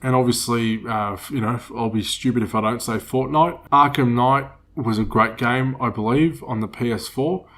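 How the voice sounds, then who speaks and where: A man in his thirties with a cold that makes him a bit nasal, hosting a casual solo podcast in a rambling, relaxed way. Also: medium pitch, normal speed, few pauses. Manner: rambling, relaxed, with many fillers. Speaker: podcast host.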